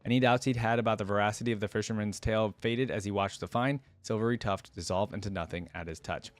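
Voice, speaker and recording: A man with a fairly low voice hosts a lore reaction in a casual way, reading story text and commenting on it. The sound is dry, with very little room in it. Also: fairly even intonation, quick pace, dry recording, soft background music. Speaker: reaction video host reading lore aloud.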